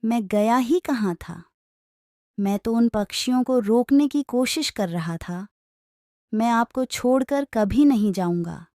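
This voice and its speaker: A woman's voice: a little high, measured pace, mellow, no echo, studio quality. A woman voicing a devoted young male parrot in a children's story, earnest and respectful. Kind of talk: acted children's moral story.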